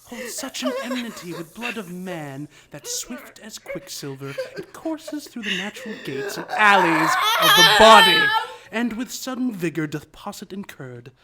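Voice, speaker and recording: A man's voice: high voice, wildly swooping pitch, over a noisy crowd, full character voice. A man playing a deranged, vengeful actor turned murderer, declaiming in a grandiose, menacing way in a live radio play. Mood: crazed, grandiose. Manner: grandiose, menacing, drawn-out delivery.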